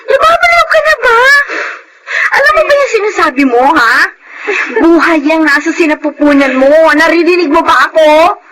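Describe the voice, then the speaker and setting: High-voiced, scolding female confidante. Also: expressive, moderate pace, crowd noise, low-quality recording. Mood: alarmed scolding. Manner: emphatic, reproachful. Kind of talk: Tagalog radio drama acting.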